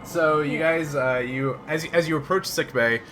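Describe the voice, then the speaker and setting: A man's voice: fairly high pitch, moderate pace, bright, forceful tone, slight background noise. A man acting as game master for a tabletop role-playing game, talking conversationally and explaining the state of play.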